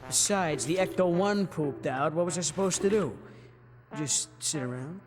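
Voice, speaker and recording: A man's voice: fairly high pitch, unhurried, big-room sound, faint music behind, close to an everyday voice. A man voicing a cocky, reckless young ghost hunter in a cartoon, with a brash, boastful, slangy manner. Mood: defensive. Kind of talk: animated series dialogue.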